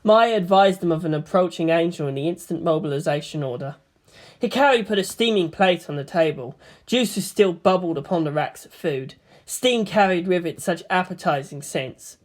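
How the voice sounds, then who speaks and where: A woman's voice: on the low side, normal speed, darkish tone. A woman reading a story aloud as scripted narration.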